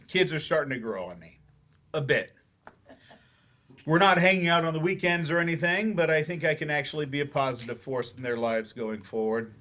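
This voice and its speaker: A man's voice: mid-range voice, sharp tone, close to an everyday voice. A man playing an earnest, wry writer turned remedial English teacher in a staged play reading. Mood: sincere, hopeful. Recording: live staged reading in a library.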